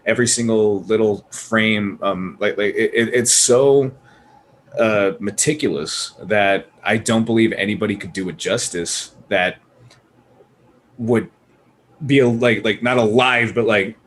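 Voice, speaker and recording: A man's voice: lowish voice, unhurried. Emphatic man chatting over background noise. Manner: emphatic, opinionated, swears freely. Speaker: podcast panelist. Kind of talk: casual panel chat.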